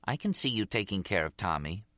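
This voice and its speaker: A man's voice: sits low, big pitch swings, brisk, a bit bright, no echo, studio quality. A man voicing a sly, teasing woman, a mobster's girlfriend, in an audiobook with short needling remarks.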